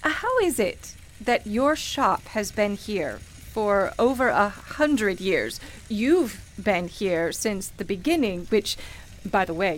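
A woman's voice: medium pitch, slight background noise. A woman playing a skeptical, polite and bemused city dweller in a radio play, with a hesitant, incredulous manner. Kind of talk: naturalistic radio play acting.

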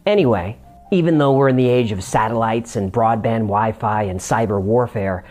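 A man's voice: lowish voice, moderate pace, a little mellow. Male explainer host, faint music behind. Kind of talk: scripted explainer narration.

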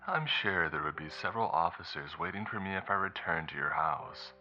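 Man acting muffled, mocking, deep-voiced kidnapper. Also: lively intonation, pushed and bright, soft background music, heavily acted. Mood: cold taunting. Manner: mocking, unhurried, mock-polite. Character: taunting male kidnapper, calm and menacing. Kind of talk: audiobook character dialogue.

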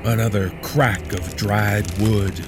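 A man narrating a horror story in a hushed, low, drawn-out delivery, over eerie music. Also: fairly even intonation, noisy background.

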